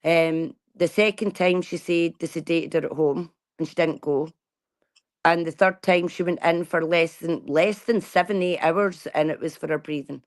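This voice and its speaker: Blunt, lower-voiced woman in her forties. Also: fairly even intonation, normal speed. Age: 40s. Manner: blunt, outspoken, swears freely. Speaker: vlogger. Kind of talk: casual chat.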